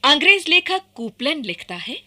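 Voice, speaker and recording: Lady narrator for a formal radio documentary, earnest, highish voice that moves around a lot. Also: big pitch swings, moderate pace, pushed and bright.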